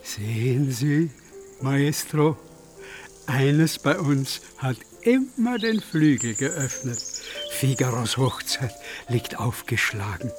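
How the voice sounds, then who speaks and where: An actor playing a courtly, hospitable count in a radio play, speaking in a florid, emphatic, courteous manner. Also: male voice, medium pitch, expressive, slow pace, faint music behind. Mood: rapturous, proud. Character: effusive, courtly aristocratic count, a hospitable father. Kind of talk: German radio play acting.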